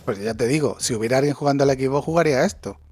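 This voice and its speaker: A man's voice: mid-range voice, rapid-fire, a little mellow, husky, soft background music. Ranting man in his forties. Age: 40s. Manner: ranting, sarcastic, swears freely. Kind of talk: casual chat.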